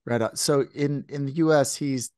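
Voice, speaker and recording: A man hosting a podcast, asking guests questions in a relaxed, halting way. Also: medium pitch, no room sound, clean studio sound. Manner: relaxed, halting with repeated words. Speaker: podcast host. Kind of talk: casual interview chat.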